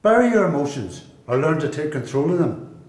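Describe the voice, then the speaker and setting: A man's voice: on the high side, sing-song, fairly quick, a little mellow. A man playing a hard, blunt prisoner who lays down rules emphatically in a radio play. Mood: blunt, commanding. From Belfast, Northern Ireland. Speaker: amateur performer in a radio play. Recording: echoey room.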